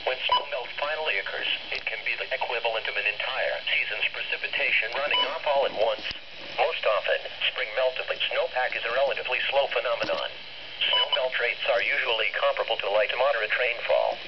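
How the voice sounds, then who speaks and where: A man's voice: medium pitch, expressive, fairly quick. A man with a formal, synthetic-sounding delivery reading scripted public information as a weather radio announcer. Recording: noisy, muffled weather radio broadcast.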